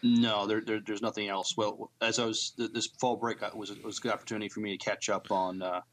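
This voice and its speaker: A man with an American accent speaks in a lowish voice as a podcast co-host. His chat is casual and somewhat hesitant, coming out in halting pieces. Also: little pitch movement, low-quality recording.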